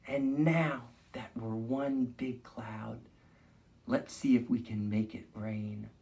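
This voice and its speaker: Slow-paced man leading children's storytime. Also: mid-range voice, steady tone, gravelly, mellow. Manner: simple guiding phrases and questions addressed to young children. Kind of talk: interactive children's read-along with movement prompts.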